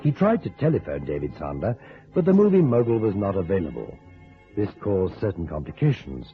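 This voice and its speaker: Male narrator, deep voice, animated intonation, dramatic scripted storytelling, dull vintage radio sound. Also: brisk. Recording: band-limited old radio recording with music.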